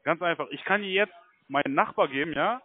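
Halting man on a tinny phone line. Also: fairly high pitch, husky, no echo. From Germany. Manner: halting, repetitive, insistent checking tags. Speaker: call center recruiter. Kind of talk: casual phone conversation. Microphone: telephone.

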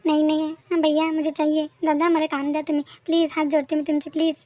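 A woman voicing a poor, proud and earnest schoolgirl in an animated moral story, politely insistent. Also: high-pitched, quick pace, no room sound, natural voice. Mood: pleading, proud.

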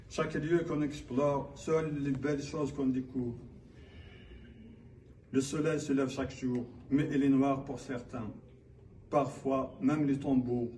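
A man from Kabylia in Algeria declaiming his own poetry in a solemn, measured manner. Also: mid-range voice, darkish tone. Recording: distant mic, faint background noise.

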